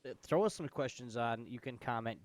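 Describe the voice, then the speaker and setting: A man's voice: medium pitch, a little fast, dry recording. A man co-hosting a gaming show in a casual, informal, slangy way.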